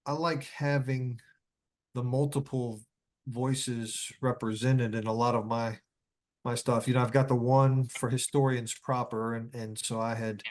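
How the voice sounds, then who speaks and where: A man chats as a guest author in a relaxed panel setting. His pitch is average, and he speaks haltingly, circling back over words in loose, unfinished phrases. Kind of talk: casual panel conversation.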